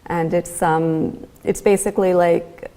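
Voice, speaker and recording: A woman's voice: fairly low pitch, fairly even intonation, a little slow, dark tone. A young woman, a guest artist, chatting casually in an interview, hesitant with many fillers and restarts.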